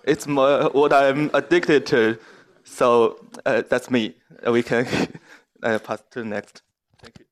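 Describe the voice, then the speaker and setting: A man's voice: mid-range voice, level tone, slow. A man introducing himself to a group, hesitant and full of fillers. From China, near Shanghai. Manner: hesitant, many fillers and restarts.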